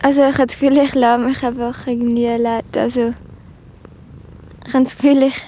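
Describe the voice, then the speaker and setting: A woman's voice: fairly high pitch, flat delivery, fairly quick, dark tone. A teenage girl talking casually and tentatively, searching for her words. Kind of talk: casual interview reflection.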